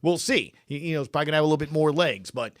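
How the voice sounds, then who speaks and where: A man's voice: on the high side, animated, rapid-fire, dry recording, clean studio sound. A man hosting a podcast with casual, punchy sports talk full of rhetorical questions. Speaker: podcast host.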